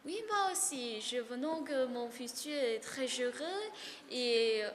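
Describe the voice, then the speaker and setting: High voiced woman from vietnam, keeps saying yes, crowd in a big hall. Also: lively intonation, slow pace, a little hiss or hum. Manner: eager, agreeing often. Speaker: summer school student. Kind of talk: casual paired chat. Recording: hall reverb with crowd behind.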